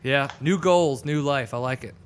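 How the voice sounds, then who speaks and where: A man's voice: mid-range voice, moderate pace, smooth. A man in his thirties hosting a casual podcast show, upbeat and swearing casually as he chats and reads sponsors. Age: 30s. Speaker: host. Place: studio set with couch. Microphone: boom mic.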